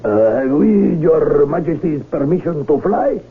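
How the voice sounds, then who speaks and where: An actor in a 1970s radio drama playing a deferential colonel and diplomat with a stiffly formal way of speaking. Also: male voice, on the high side, animated, a little slow, vocal fry, breathy edge, full character voice. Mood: solemn, deferential. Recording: muffled, hissy 1970s radio broadcast recording.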